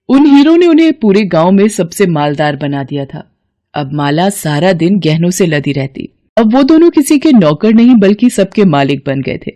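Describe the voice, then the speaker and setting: A woman giving expressive scripted narration of a children's moral story. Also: medium pitch.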